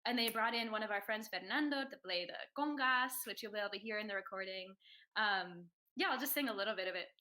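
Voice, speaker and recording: A woman's voice: medium pitch, moderate pace, pushed and bright. A young adult woman, a soprano and graduate student, talking about her singing and research in an earnest, thoughtful way with light hesitations. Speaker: soprano, choral singer. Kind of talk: conversational interview.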